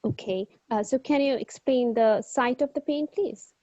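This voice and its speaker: A woman's voice: sits high, normal speed, mellow, dry recording, lo-fi sound. A woman acting as a doctor in a mock exam, taking a clinical history politely and hesitantly, with many fillers. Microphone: video call.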